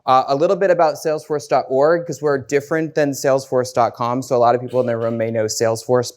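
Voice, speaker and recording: A man with an American accent giving a casual, upbeat presentation to a conference audience, with frequent fillers and restarts. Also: mid-range voice. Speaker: conference speaker. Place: conference room before an audience.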